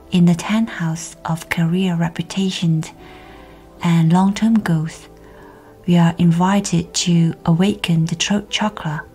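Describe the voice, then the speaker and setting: A woman with a slightly low voice leads a guided meditation very slowly. Her delivery is soft and breathy, often close to a whisper, and soothingly measured. Music plays behind her. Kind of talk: guided meditation, scripted narration. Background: background music.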